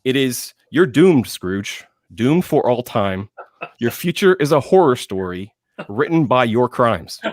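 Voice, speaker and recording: A man speaking as a panelist in enthusiastic film talk, emphatic, with dramatic pauses and phrases repeated for effect. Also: mid-range voice, big pitch swings, no echo, studio quality.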